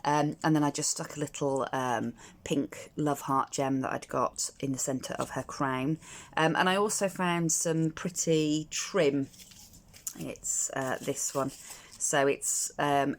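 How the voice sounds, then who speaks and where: A middle-aged woman with a croaky voice, chatting casually through a crafting tutorial at home, hesitant and full of ums and restarts. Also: deep voice, fairly even intonation, takes it slowly. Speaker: crafter demonstrating card making.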